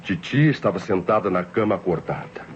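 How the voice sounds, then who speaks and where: An actor playing a tense, brooding nephew confessing a planned murder in a suspenseful old Brazilian radio mystery, speaking formally and deliberately. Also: male voice, low-pitched, animated, background noise. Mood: tense, stealthy. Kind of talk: 1960s radio mystery drama narration. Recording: dull old radio recording, loud music behind.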